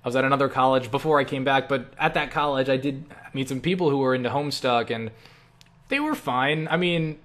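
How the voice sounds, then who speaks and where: A man's voice: medium pitch, a little fast, fairly bright tone. A young man chatting casually in a question-and-answer vlog, rambling and self-deprecating, with casual swearing. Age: young adult. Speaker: online video creator answering viewer questions.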